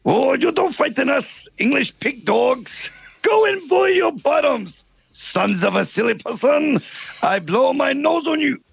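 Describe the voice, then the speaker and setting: Man puts on a jeering French castle guard, fake thick accent, gravelly rasp, shouted taunts. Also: extremely high pitch, animated, full character voice. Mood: taunting. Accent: put-on exaggerated French. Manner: shouted insults, sneering drawn-out taunts. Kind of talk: amateur comedy script read-through.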